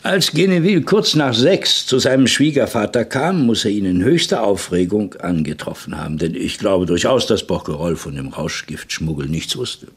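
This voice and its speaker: An actor playing a shrewd police commissioner in a German radio crime play, deliberate and musing, then pointed in his questions. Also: fairly low pitch, animated, husky. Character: shrewd male French police commissioner, boss of the investigation.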